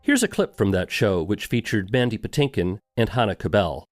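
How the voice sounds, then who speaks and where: A man's voice: on the low side, sing-song, moderate pace. A man hosting a podcast, reading scripted documentary narration. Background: music.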